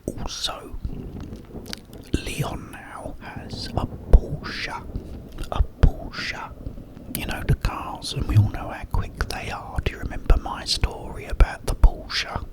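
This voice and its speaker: A man whispering a rambling, gently enthusiastic ASMR chat in a breathy, hushed voice, close to the microphone. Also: mid-range voice, rough recording. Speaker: ASMR creator.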